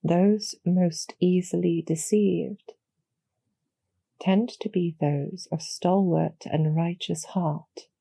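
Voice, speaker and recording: Woman doing a demon succubus lady, calm n knowing, breathy gravelly low voice, really slow and softly persuasive, intimate roleplay audio. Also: no echo, exaggerated voice. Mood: knowing, gentle. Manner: measured, deliberate, softly persuasive. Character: calm, knowing demoness succubus archer, former hero, loyal to her queen.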